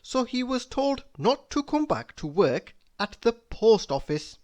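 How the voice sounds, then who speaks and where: Someone reading a kids storybook out loud, really slow, lots of up and down, drags out the important words. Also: mid-range voice. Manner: deliberate, expressive storytelling with drawn-out emphasis on key words. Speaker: storybook reader. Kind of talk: read-aloud children's storybook narration.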